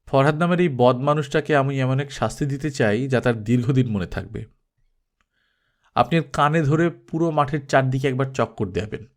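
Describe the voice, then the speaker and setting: A cool, commanding film director, played by a male storyteller, speaks with unruffled politeness and a sardonic edge. His medium-pitched voice stays controlled, in audiobook style. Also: normal speed, natural voice. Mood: quietly commanding. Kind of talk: audiobook character acting.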